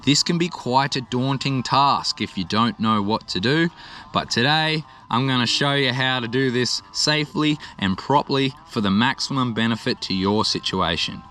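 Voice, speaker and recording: Bright-voiced Australian man, chatty explainer. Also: medium pitch, moderate pace. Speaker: vlogger, musician. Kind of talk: casual explainer to camera.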